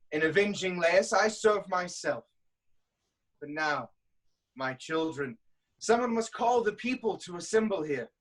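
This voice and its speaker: Man acting slow, high-voiced commanding king. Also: fairly bright tone, heavily acted. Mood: resolute. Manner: deliberate, declamatory. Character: proud, commanding king of ancient Thebes vowing vengeance. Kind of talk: staged reading of Greek tragedy.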